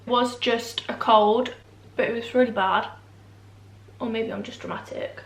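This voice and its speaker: Young woman, vlogger, higher voice, slightly nasal from a cold, rambling chat, echoey room. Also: steady tone, measured pace. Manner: rambling, self-deprecating, lots of fillers. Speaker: beauty vlogger. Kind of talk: casual chatty vlog. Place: room at home, indoors.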